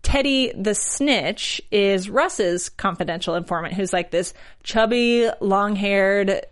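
A woman's voice: medium pitch, slow pace. Woman casually recapping a TV episode. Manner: frequent "like", voicing characters' lines in quotes. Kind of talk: casual chat.

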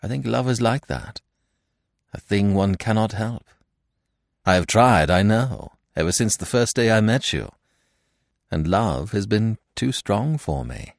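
Man acting earnest young gentleman, intense, protective, lowish voice, lively intonation, audiobook dialogue. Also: measured pace, vocal fry, dry recording, studio quality. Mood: weary, earnest.

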